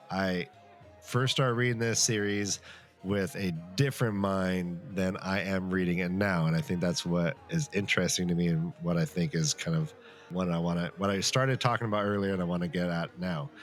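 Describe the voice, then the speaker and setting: A man co-hosting a podcast, talking casually and wryly, repeating phrases for emphasis. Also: low-pitched. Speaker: podcast co-host. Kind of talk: casual comedic banter and book discussion.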